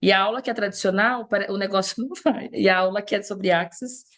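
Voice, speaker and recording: A woman hosts a casual conversation at a brisk pace, her voice at a middle pitch. She keeps affirming her guest with short agreeing words. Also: fairly bright tone. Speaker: host.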